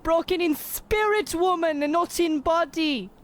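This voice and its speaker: A man's voice: very high voice, measured pace, fairly bright tone. A man playing a melodramatic, proud Bavarian boxer with a German accent in a comic radio play. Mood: sorrowful. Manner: pompous, overwrought, flowery. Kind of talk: old-time radio play parody.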